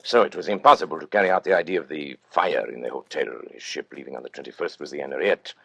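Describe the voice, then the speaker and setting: An actor playing a suave, vain, cold-blooded master criminal, speaking with pompous, precise courtesy in old-time radio suspense style. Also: male voice, very deep voice, fast talker, vocal fry, fairly bright tone, husky, dry recording, muffled recording, heavily acted. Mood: coolly recounting.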